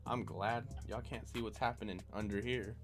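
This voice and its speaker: A man's voice: on the low side, steady tone, moderate pace, faint music behind. A man hosting a live stream, chatting casually from his bedroom and laughing and joking often. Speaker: stream host. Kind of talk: casual chat.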